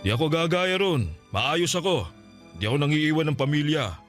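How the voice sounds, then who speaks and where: A man's voice: on the high side, lively intonation, moderate pace, fairly bright tone, faint music behind. Boastful Filipino father, swooping delivery. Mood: defensive, indignant. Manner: wheedling, self-righteous, scolding. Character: boastful, manipulative middle-aged father. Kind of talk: Filipino radio drama acting.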